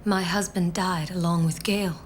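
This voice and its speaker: An actress playing a grave widowed survivor in a video game, speaking in a measured, solemn way. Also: female voice, lowish voice, fairly even intonation, slight background noise, lightly acted. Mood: solemn, reflective. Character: grave widowed female survivor who has outlived her years. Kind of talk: English-dubbed video game cutscene acting.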